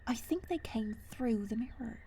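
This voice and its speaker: A woman's voice: fairly high pitch, animated, normal speed, warm tone, slight background noise. A woman playing a guarded, stubborn dweller of the wilds in a fantasy audio roleplay, speaking in an earnest, naturalistic, hesitant way. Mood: troubled, earnest.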